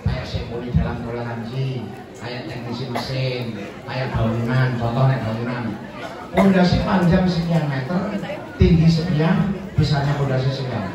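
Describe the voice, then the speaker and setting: A man's voice: medium pitch, very noisy. Older Javanese man in an echoey hall. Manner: rambling, folksy, slips into Javanese, counts points off. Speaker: Samin community elder answering students. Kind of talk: informal explanatory talk to students. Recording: echoey hall, far from mic, dull sound, crowd.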